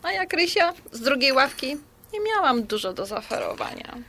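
An actress in a radio drama playing a modest, self-doubting Polish pensioner around sixty, speaking in a hesitant, demurring, conversational way. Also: female voice, high voice, very animated, moderate pace, a bit bright, a little hiss or hum, full character voice. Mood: self-deprecating.

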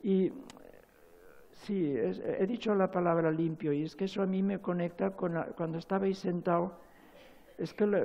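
An older man seated on a stage giving a rambling, emotional talk at a spirituality forum, full of halting restarts. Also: high-pitched, lo-fi sound. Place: large stage, seated on a chair.

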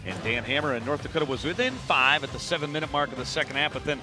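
Brief: middle-aged male sportscaster, a touch high-pitched, crisp and brisk, headset mic at an arena desk, music bed playing under him. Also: animated. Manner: brisk, polished broadcast delivery. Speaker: play-by-play host. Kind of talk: post-game sports wrap.

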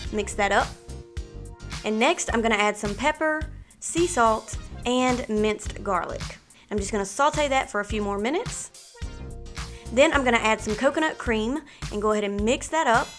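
A woman in her thirties hosting a cooking channel, walking through meal prep in clear step-by-step voice-over. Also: a little high. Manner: clear step-by-step instructional delivery. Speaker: host of a healthy cooking channel. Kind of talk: upbeat recipe walkthrough with voice-over.

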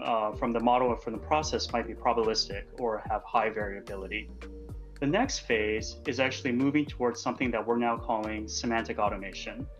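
A man's voice: medium pitch, a bit bright, muffled recording. A man in his forties giving an explanatory business presentation on a video call from a room indoors. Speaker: company presenter.

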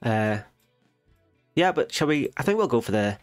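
A man's voice: mid-range voice, very animated, normal speed, faint music behind. A man with a nasal voice from a recent illness, chatting casually over a game and correcting himself as he goes. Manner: chatty, self-correcting, thinks aloud. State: nasal from a cold. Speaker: gaming channel host. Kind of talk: casual let's play commentary.

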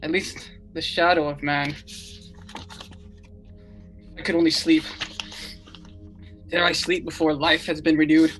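The last man on earth, acted by a man, broods wearily in a radio drama. The delivery is slow and halting, full of despair, with faint music. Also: on the high side, flat delivery, a bit bright, low-quality recording. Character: despairing, weary last man, a builder turned scientist.

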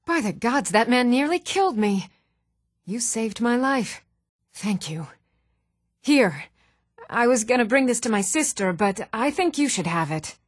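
A woman playing poised, articulate townswomen and noblewomen in a fantasy video game, with measured, formal delivery. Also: fairly high pitch, very animated, dry recording, studio quality. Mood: shaken, grateful. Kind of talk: scripted fantasy game dialogue.

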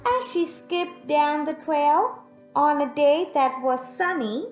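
A young woman reading a picture book aloud to young children in an expressive, teacherly way, pausing to put questions to them. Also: high-pitched. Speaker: children's storyteller. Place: room at home, indoors. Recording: echoey room, music in the background.

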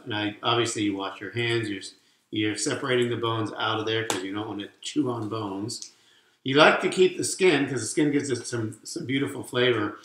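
A man's voice: mid-range voice, fairly bright tone. A middle-aged man co-hosting a casual cooking video at home, explaining each step with jokey asides while he works with his hands. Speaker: cooking show co-host. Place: home kitchen, indoors. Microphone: camera mic across the kitchen. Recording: echoey kitchen with faint noise.

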